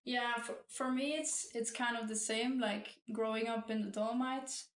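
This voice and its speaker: A woman hosting a casual interview, speaking haltingly with many restarts and fillers. Her tone stays flat and even. The room around her gives an echoey sound. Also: fairly high pitch. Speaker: interviewer host. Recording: roomy echo.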